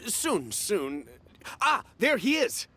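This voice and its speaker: An actor playing a nervous, fussy merchant who talks in a wheedling, apologetic way. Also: male voice, high voice, big pitch swings, slow, sharp tone, a little hiss or hum, heavily acted. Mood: eager.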